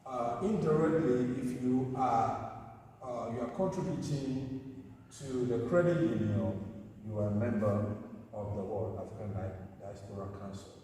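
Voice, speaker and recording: Male moderator, halting, lots of uhs, mid-pitched voice, distant mic, clear room noise. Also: mellow, heavy reverb, big-room sound. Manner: hesitant, many fillers, hurried by time. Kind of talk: moderating a community meeting.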